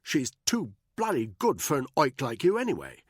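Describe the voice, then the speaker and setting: A man's voice: mid-range voice, huge pitch swings, moderate pace, slightly rough, no echo, studio quality, pitched above the performer's own voice. A man voicing a panicky, cowardly official who stammers and trips over his words. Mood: flustered.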